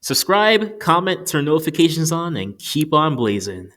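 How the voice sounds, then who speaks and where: A man's voice: on the high side, sing-song, normal speed, fairly bright tone. A man in his twenties narrating an opinionated, scripted movie review in an informal way. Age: 20s. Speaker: video reviewer.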